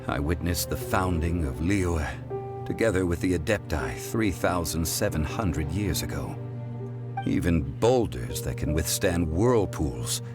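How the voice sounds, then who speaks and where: A man playing an ancient, wise god in human form in a video game, speaking in a calm, measured and formal way. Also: low voice. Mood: solemn. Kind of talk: solemn video game monologue. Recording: game music behind the voice. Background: game soundtrack music.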